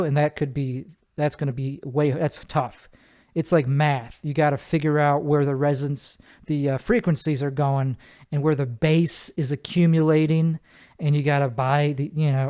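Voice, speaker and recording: Man, nasal, fairly high voice, smooth and clear, rambling podcast host, casual bedroom talk. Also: a little mellow. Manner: rambling, self-correcting, many fillers. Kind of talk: casual solo podcast monologue. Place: his bedroom.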